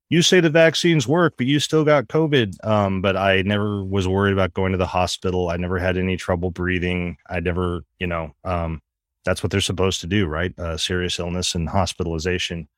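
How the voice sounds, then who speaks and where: A man's voice: low-pitched, no room sound, studio quality. A middle-aged man co-hosting a podcast, talking earnestly with many fillers and restarts while tired from an illness. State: recovering from covid, tired. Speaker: podcast co-host, religion professor. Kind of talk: casual podcast chat.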